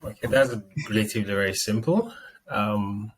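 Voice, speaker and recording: A Nigerian man speaks as a guest in a casual interview, in a fairly low voice. He explains things as he goes, with faint background noise around him. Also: normal speed, rough recording.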